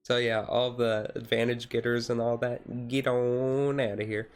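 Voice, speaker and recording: A man's voice: medium pitch, little pitch movement, measured pace, clean tone. A man streaming a casual, laid-back ASMR chat in a rambling way, with music behind him.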